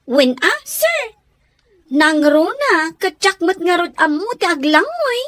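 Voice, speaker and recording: A woman's voice: extremely high pitch, very animated, takes it slowly, fairly bright tone, dry recording, heavily acted. A woman playing a shy, respectful young housemaid in an Ilocano radio drama. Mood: timid, hesitant.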